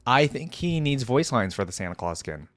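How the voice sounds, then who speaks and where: A young adult man hosts a reaction video in a casual, musing style. His pitch is average and the sound is dry, with little room in it. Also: very animated, moderate pace, no echo. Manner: musing, tentative asides to viewers.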